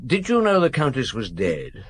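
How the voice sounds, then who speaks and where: An actor playing a weary, hungry middle-aged chief inspector in a radio drama, speaking in a dry, patient, murmuring way. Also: male voice, medium pitch, huge pitch swings, moderate pace, husky. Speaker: detective. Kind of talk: 1990s BBC radio drama acting.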